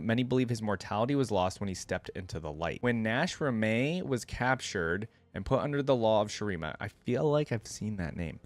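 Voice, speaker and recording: A man's voice: fairly low pitch, no room sound. A man hosting a reaction video, reading lore aloud and adding informal, think-aloud commentary.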